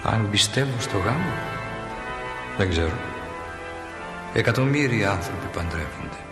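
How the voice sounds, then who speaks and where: A man's voice: on the low side, lively intonation, loud music behind the voice, natural voice. A man playing a reflective, wry small-town narrator in a stage play, addressing the audience in a folksy, musing way.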